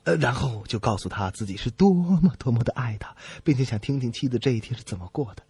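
A man's voice: medium pitch, expressive, fast talker, a little mellow, breathy edge, no echo. A man co-hosting a comedy radio show, telling jokes in a conversational way.

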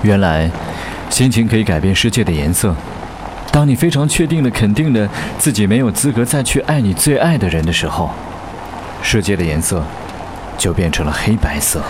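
An actor in a radio drama playing an earnest, lovesick young singer. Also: male voice, lowish voice, animated, normal speed, natural voice. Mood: wistful. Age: young adult. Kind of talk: Chinese radio drama acting. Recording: noisy hiss and vehicle ambience.

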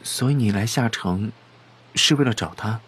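An actor playing a shy, eager, soft-hearted young shop worker who speaks hesitantly in an audio drama. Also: male voice, lowish voice, moderate pace, husky. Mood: curious. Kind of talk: Chinese audio drama acting. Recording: faint music behind the voice.

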